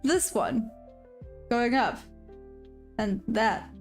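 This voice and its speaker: A woman talks through her trading session in a relaxed, chatty way, reading viewers' questions aloud and answering them. Her voice sits fairly high, and faint music plays softly beneath her. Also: very slow. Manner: upbeat sign-off, friendly to viewers. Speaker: day-trading live streamer. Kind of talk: casual live-stream chat while trading.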